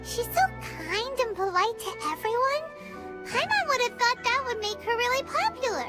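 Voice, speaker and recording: A woman voicing a tiny floating childlike female companion in a video game, chirpy and chatty, with a high squeaky voice. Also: lively intonation, normal speed. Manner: chirpy, refers to herself in the third person. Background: game music.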